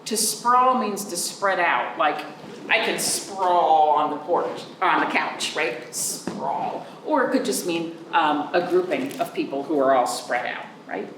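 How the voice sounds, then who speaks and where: A woman reads a storybook for young children, swinging widely in pitch and stretching words in a sing-song way. Her pace is unhurried. She is recorded a little way from the mic in an echoey room. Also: expressive. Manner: pauses to explain words simply to children. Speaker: children's librarian, storytime reader. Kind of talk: expressive read-aloud storytime for young children. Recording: roomy echo, distant mic, faint noise.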